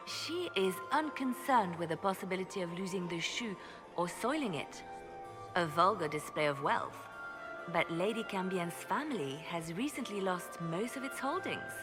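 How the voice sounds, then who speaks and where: An actress playing a poised, sly female spymaster in a video game, speaking in a measured, arch, confiding way. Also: fairly low pitch, lightly acted. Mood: arch, disdainful. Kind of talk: video game dialogue acting. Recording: music behind the voice.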